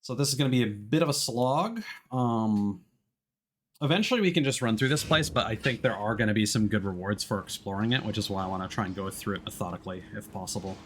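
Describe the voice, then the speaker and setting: A man giving casual live commentary as he plays a video game, thinking aloud in a halting way. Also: fairly low pitch, a little hiss or hum. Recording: game music behind the voice. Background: game music.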